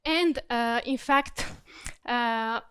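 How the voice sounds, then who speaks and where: Woman with a smooth, higher voice. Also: extremely slow, no room sound, clean studio sound. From Croatia. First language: Croatian. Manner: steady, explanatory, searching for words. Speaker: corporate learning specialist presenting. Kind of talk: conference presentation.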